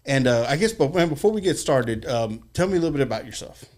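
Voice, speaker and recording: A man's voice: medium pitch, animated, fast, a little mellow. A man hosting a podcast in a studio, talking loosely and repeating himself as he welcomes his guest. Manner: loose and rambling, repeats words. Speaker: podcast host.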